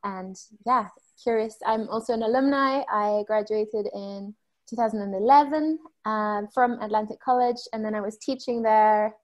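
A woman's voice: mid-range voice, a little mellow. A woman in her thirties introducing herself on a video call in a friendly, curious way, with a few hesitations. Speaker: alumna and project coordinator.

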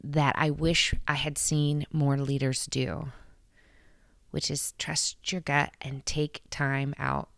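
A woman's voice: low voice, level tone, takes it slowly, vocal fry, a bit bright, no echo. A woman in her thirties talking earnestly and encouragingly to camera from her bedroom, holding a handheld mic close. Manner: earnest, heartfelt, encouraging, with frequent restarts and laughs. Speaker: vlog host. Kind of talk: casual motivational talk to camera. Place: bedroom at home, indoors. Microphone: handheld microphone held close.

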